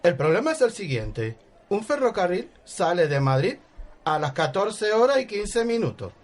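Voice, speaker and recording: A man's voice: sits high, very animated, moderate pace. A man playing a pompous, bossy secretary of state in a parody radio soap opera, speaking in emphatic repetitions. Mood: bossy, insistent.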